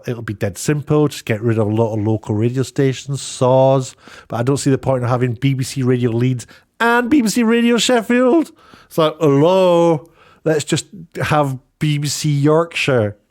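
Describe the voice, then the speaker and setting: A man's voice: mid-range voice, a little mellow. Middle-aged man on an opinionated rant. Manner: informal, emphatic, thinks aloud with stop-start phrasing. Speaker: YouTube commentator and host.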